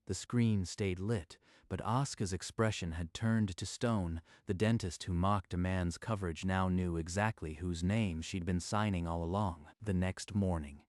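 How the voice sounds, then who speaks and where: A man narrating a scripted story in a measured, even manner. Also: deep voice, dry recording, studio quality.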